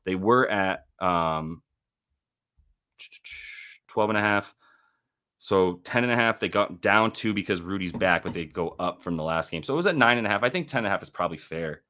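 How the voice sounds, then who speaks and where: Man, young, deep voice, casual livestream host, thinks out loud, self-correcting. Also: expressive, normal speed, long pauses. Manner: rambling, self-correcting, thinking aloud over numbers. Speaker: sports betting show host.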